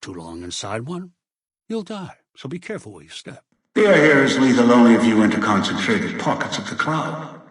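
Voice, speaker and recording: An actor playing a scheming older male villain in a video game, speaking in a cold, measured, menacing way. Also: mid-range voice, sing-song, echoey room, in a large hall, natural voice. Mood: lecturing. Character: old male scheming manipulative elder villain.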